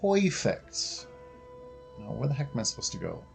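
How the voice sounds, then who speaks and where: Male gamer, mid pitch, very lively intonation, colloquial muttered reactions and casual play-by-play. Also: moderate pace, gravelly. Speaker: game streamer. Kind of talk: casual gameplay commentary. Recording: faint game music behind the voice.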